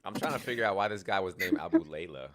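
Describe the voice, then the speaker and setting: A man's voice: low-pitched, sing-song, quick pace, a loud crowd. A man hosting a podcast, reading old texts aloud and joking with guests.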